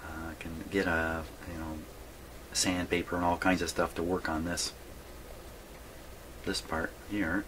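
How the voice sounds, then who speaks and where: A man's voice: low-pitched, level tone, unhurried, long pauses. A man thinking aloud in a rambling, folksy way as he casually narrates a hands-on repair vlog from his workshop. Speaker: hobbyist host repairing vintage radios. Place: indoor radio workshop. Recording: clear background noise.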